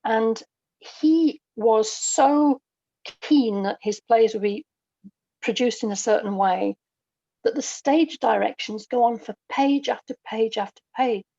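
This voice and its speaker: A middle-aged woman speaking as a podcast guest, explaining things in a measured way with pauses between phrases. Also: a little high, creaky, dark tone, dry recording. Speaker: guest, audio drama writer. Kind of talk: thoughtful podcast conversation. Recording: dull, close dry lo-fi audio.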